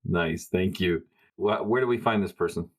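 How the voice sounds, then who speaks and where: A man's voice: sits low, moderate pace. A man playing a tabletop role-playing game, speaking casually and politely as he improvises in character.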